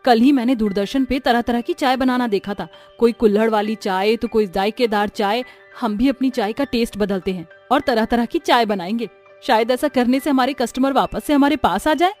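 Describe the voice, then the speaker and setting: A woman voicing a determined widowed mother-in-law in an animated moral story, speaking earnestly and sentimentally. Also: fairly high pitch, normal speed, soft background music, close to an everyday voice. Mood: excited.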